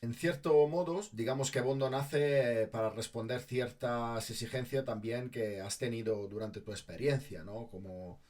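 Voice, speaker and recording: A man's voice: mid-range voice, steady tone, moderate pace, hardly any pauses, a little mellow. A man hosting an interview show, asking questions in a conversational way.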